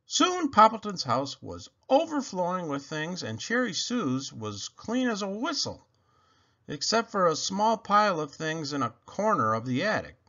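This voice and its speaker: A man narrating a children's storybook in an expressive read-aloud style. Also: sits high, measured pace, highs cut off. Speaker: storybook reader.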